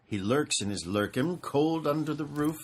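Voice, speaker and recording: An adult reading a children's storybook aloud in an expressive, dramatic way, stretching out words for effect. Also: mid-range voice, normal speed, slight background noise.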